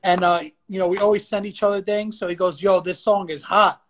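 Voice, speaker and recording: Guy hosting a live podcast, pretty high voice, chill and friendly. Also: steady tone, a little fast. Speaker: podcast host. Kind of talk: casual chat. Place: livestream podcast.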